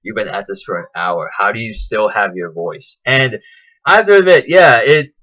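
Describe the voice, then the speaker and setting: A man telling a casual, enthusiastic vlog recap in a chatty, animated way. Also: fairly low pitch, moderate pace, poor audio. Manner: animated, chatty, acts out quotes in raised voices. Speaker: vlogger.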